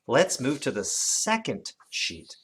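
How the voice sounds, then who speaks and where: A teacher giving an instructional lesson for children, with animated, expressive storytelling emphasis. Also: mid-range voice, takes it slowly, slightly rough. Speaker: elementary teacher.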